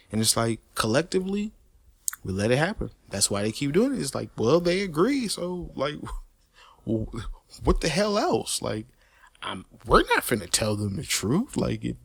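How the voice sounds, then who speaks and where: A man talks through a long, heated opinion rant at an average pitch. He repeats and restarts words as he pushes his points and leans on street slang and swearing. The recording is dry and close, with no room sound. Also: vocal fry, breathy edge, no room sound. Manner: animated, emphatic and repetitive, with frequent restarts and stutters. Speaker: host of a personal commentary channel.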